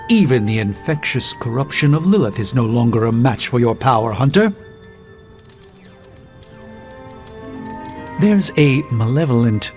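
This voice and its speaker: An actor playing a learned, composed sorcerer in a video game, speaking in a formal, eloquent, dryly witty way. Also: male voice, mid-range voice, narrow, tinny audio, lightly acted. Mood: dry humour. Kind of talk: scripted video game dialogue.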